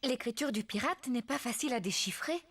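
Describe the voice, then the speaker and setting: A woman voicing a patient, gently firm mummy pig in a French children's cartoon, explaining and reassuring. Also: a little high, animated, brisk, husky, full character voice. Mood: puzzled.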